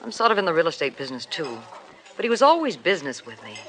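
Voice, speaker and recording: A woman's voice: on the low side, sing-song, fast talker, slight background noise. A tough, wisecracking worldly woman. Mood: wry. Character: worldly, wry woman in love with a married man. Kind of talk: 1950s radio drama acting.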